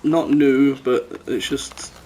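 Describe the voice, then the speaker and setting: A man's voice: mid-range voice, fairly even intonation, slow, dark tone, slight background noise. A man chatting casually with friends as a podcast co-host, blunt, teasing and sweary. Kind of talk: casual gaming chat with friends.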